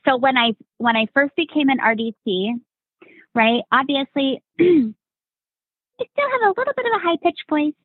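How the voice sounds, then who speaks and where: A woman's voice: high voice, lively intonation, moderate pace, no room sound. A woman, a Navy veteran guest on a podcast, telling stories in a rambling casual way, full of "like" and "right?". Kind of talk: casual storytelling chat. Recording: tinny phone-like remote line.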